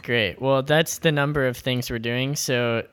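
Young dc guy, smooth clear voice, lots of ums and uhs. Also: mid-range voice, steady tone, measured pace, a bit bright. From Washington, D.C. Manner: hesitant, lots of fillers. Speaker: band member, guest. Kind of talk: casual podcast chat.

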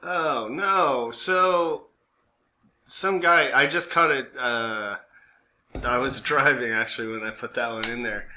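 A man's voice: medium pitch, takes it slowly, bright, forceful tone. An American man co-hosting a podcast in casual banter, rambling and jokey as he interrupts himself. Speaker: podcast co-host. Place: small room, recorded for a podcast and live stream. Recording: clearly noisy background.

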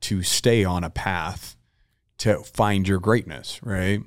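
Deep-voiced man hosting a casual chat. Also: takes it slowly, dry recording, studio quality. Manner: easygoing, with frequent "you know" fillers. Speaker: podcast host.

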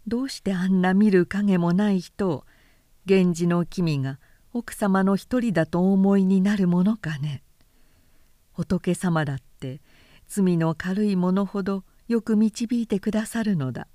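A woman narrator plays a haughty noblewoman of a classic tale. Her voice is mellow and dry, her manner grumbling and full of contempt. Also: medium pitch, unhurried, dry recording, close to an everyday voice. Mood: scornful. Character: scornful, haughty noblewoman aunt, belittling a poor relation.

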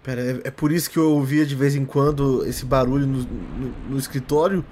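A man's voice: mid-range voice, fairly quick, darkish tone, a little hiss or hum. A man, a tabletop player speaking hesitantly as a nervous character.

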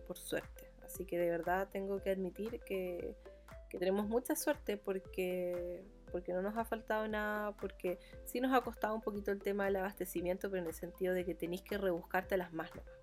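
A woman with a Santiago Chilean accent hosts a solo podcast in a mid-pitched voice. She talks in a colloquial, rambling, conversational way. Music plays behind her. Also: darkish tone. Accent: Chilean Spanish (Santiago). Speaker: podcast host. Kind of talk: casual chatty solo monologue.

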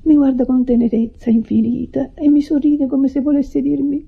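An actress in a radio drama playing an anxious, pious, smothering woman who nurses an invalid, fretful and hushed, with a husky voice. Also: high-pitched, little pitch movement, normal speed. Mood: pitying, fearful. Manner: fretful, hushed, theatrically solicitous. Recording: dull lo-fi radio recording.

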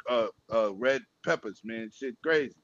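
A man co-hosting a casual gaming chat, loud and profane, cracking jokes and laughing often. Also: mid-range voice, moderate pace, dry recording, dull audio. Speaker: podcast co-host.

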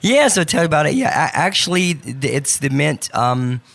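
Man, radio guest, gravelly voice, lowered by allergies, chatty and upbeat, studio interview. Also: big pitch swings, moderate pace, a bit bright, no room sound. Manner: chatty and enthusiastic. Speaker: guest performer.

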